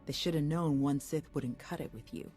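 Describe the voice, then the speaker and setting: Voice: mid-range voice, normal speed, darkish tone, faint music behind, lightly acted. An actor voicing an easygoing, supportive soldierly companion in a video game, casual and conversational. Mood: relaxed.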